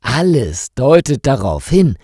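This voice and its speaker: A man reading a scripted story for language learners in a measured, carefully articulated storytelling manner. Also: mid-range voice, very animated, gravelly, dark tone, no echo, clean studio sound. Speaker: narrator of stories for language learners.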